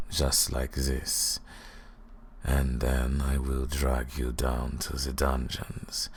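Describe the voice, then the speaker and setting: A man voicing a cruel, mocking vampire lord in an ASMR roleplay, speaking slowly and deliberately with a gravelly, breathy voice that drops into whispers. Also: a bass voice, exaggerated voice. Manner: slow, deliberate, taunting, dropping into whispers.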